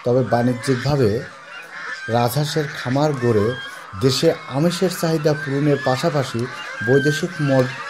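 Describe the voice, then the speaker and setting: A young man narrating and interviewing in a measured, informative way, speaking into a handheld mic outdoors at a goose farm. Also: medium pitch, steady tone, background noise. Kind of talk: read narration and field interview. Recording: outdoor voice with music bed.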